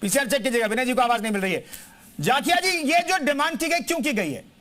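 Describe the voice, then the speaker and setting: Male debate host, very high voice, insistent, cuts in to steer live panel talk. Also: lively intonation, fast talker, pushed and bright. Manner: insistent, interjecting, repeats guests' names. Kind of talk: live TV news debate moderation.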